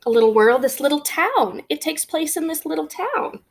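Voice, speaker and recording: A woman's voice: sits high, very animated, normal speed. A woman guiding young children through a storybook, asking simple questions as a teacher would. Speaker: teacher leading a children's read-aloud.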